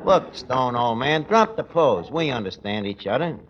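An actor in an old radio comedy playing a scheming tavern manager with a New York accent, pompously mangling big words. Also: male voice, medium pitch, sing-song, moderate pace. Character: scheming, malapropism-spouting male manager of a shabby tavern. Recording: noisy, dull old radio recording.

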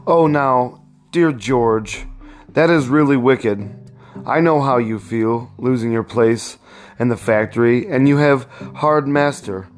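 In a read-aloud storybook, an anxious young mother pleads tenderly and emotionally, as a man reads her part. She sounds tender and worried, and her voice sits a little higher than a plain male reading voice. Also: slow, soft background music, natural voice. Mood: pleading.

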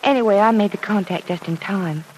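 A woman's voice: medium pitch, fairly quick, darkish tone, a little hiss or hum, lightly acted, low-quality recording. An actress playing a kind, well-off young woman in a 1940s radio drama, explaining things in an earnest way.

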